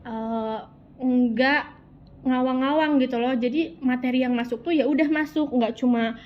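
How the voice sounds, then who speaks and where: A woman's voice: high-pitched, steady tone, measured pace. A young woman from West Java, a university student, answering interview questions in a chatty, informal way with lots of fillers. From West Java (Sundanese region), Indonesia. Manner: chatty, informal Jakarta slang, frequent fillers. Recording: muffled lo-fi audio with faint background noise.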